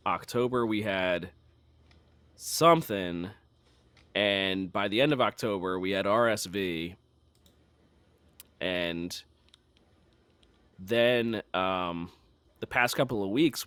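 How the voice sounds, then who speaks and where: Man, podcast co-host, slightly raspy and hoarse, wry casual chat, dry close recording. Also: medium pitch, fairly bright tone, no echo. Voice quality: a little raspy. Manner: chatty, self-deprecating, wry.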